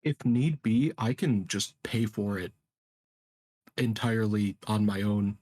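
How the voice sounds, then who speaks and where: A man's voice: lowish voice, slow, darkish tone, dry recording, studio quality. A man playing a tabletop game, talking eagerly and bluntly. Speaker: player. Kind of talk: casual game chat.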